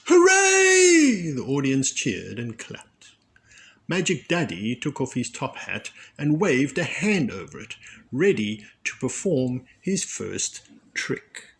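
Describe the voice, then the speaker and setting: A man reads a children's storybook aloud in an expressive way. His delivery is slow and deliberate, and his pitch moves in lively, animated swings. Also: creaky. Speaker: storybook reader, children's read-aloud channel. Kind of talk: expressive scripted storybook narration.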